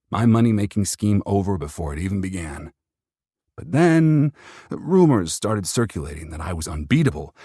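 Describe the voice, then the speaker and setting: A man's voice: low-pitched, darkish tone, husky, dry recording, clean studio sound. A man reading first-person fiction as an audiobook narrator, performing the text in character.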